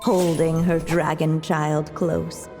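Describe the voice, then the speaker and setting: A gentle fairy-tale narrator for a game, speaking very slowly in a mellow, lowish voice. She is tender and solemn, with music behind her. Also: flat delivery. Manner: measured, tender, poetic.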